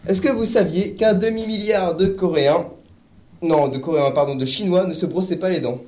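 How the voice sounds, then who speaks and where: A man with a fairly high voice introduces light trivia in a joking, halting manner, as if chatting with friends. Clear noise sits behind him. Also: dark tone. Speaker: radio show columnist. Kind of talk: casual humorous trivia segment.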